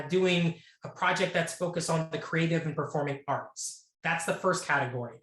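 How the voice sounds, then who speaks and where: Brief casual male panel moderator on a video call, slightly high voice, echoey room. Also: a bit bright. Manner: casual, with many fillers and restarts.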